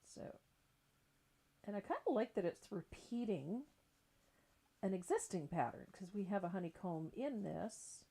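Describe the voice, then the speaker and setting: A woman's voice: mid-range voice, animated, measured pace, pauses often, mellow, breathy edge. A middle-aged woman giving a casual, chatty live craft tutorial in an informal way. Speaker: craft painting instructor and livestream host. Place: indoor craft table.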